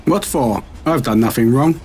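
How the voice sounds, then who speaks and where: A man's voice: medium pitch, normal speed, a little mellow, lightly acted. A man playing a mild, helpful expert in an amateur radio play. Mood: wary. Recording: noticeable background noise.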